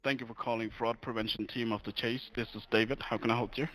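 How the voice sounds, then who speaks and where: A man with a bright, sharp voice talks as a customer service agent. He is polite and formal, walking through procedure, with a very dry sound. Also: medium pitch, little pitch movement, quick pace, no echo, low-quality recording. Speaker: phone scammer posing as bank fraud agent. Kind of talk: scripted call-center talk.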